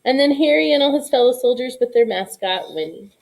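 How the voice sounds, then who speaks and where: A woman reading a children's picture book aloud in an expressive storytelling style. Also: a little high, a little fast, gravelly, rough recording. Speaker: storybook reader.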